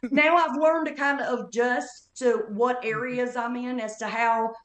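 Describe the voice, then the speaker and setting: A woman's voice: on the high side, fairly even intonation, unhurried, fairly bright tone, roomy sound, a little hiss or hum. A woman in her fifties with an Appalachian Southern accent, a comedian telling stories on a podcast in a chatty, perky way. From North Carolina Appalachians. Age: 50s. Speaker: comedian guest. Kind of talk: casual podcast chat.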